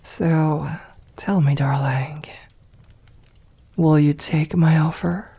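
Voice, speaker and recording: Vampire mistress played by a woman for ASMR roleplay: very breathy and whispery, unhurried, refined, seductive and possessive. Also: sits low, steady tone, warm tone, heavily acted. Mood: coaxing, seductive. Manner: slow, formal, coaxing endearments.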